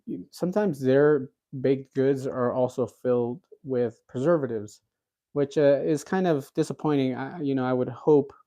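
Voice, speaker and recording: A man's voice: mid-range voice, mellow. A man in his thirties hosting a cooking livestream, chatting casually with viewers and reading out their comments, rambling with lots of fillers and restarts. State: recovering from a cold.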